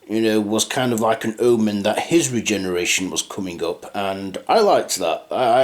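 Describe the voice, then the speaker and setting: Rambling, hesitant male reviewer. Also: mid-range voice. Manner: rambling, hesitant, often trailing off and restarting. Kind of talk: unscripted audio drama review.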